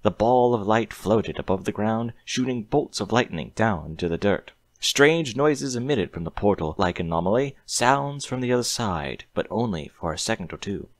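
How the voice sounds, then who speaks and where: A man's voice: fairly low pitch, animated, normal speed, few pauses, no echo. A man reading a story aloud in a scripted audiobook narration style.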